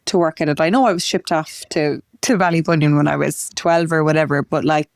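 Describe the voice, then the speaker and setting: Irish woman, interview guest, smooth and resonant voice, fairly low, chatty, dry close sound. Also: fairly quick, dry recording. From Ireland. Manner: chatty, lots of "like" and asides. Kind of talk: conversational interview.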